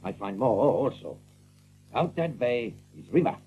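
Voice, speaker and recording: A man playing an excitable, blunt, big-hearted seagull who talks in broken English with drawn-out exclamations, in an audiobook drama. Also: mid-range voice, slightly rough, lo-fi sound, faint music behind. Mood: boastful. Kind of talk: audiobook drama character acting.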